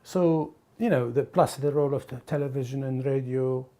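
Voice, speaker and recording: A man from the Middle East or North Africa talking casually and reflectively, haltingly searching for words. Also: medium pitch, fairly even intonation, warm tone, slight background noise. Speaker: documentary interviewee.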